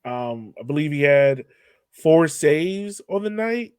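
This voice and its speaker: A man's voice: on the high side, animated, slow, clear, resonant tone, no echo, studio quality. A man co-hosting a soccer fan podcast, talking casually and informally about games in an upbeat way. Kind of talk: casual game-analysis chat.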